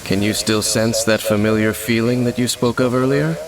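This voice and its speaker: An actor playing a calm, mysterious wandering swordsman in a video game, speaking in a measured, formal, grave way. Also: mid-range voice, noisy background, lightly acted. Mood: solemn. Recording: game music loud behind.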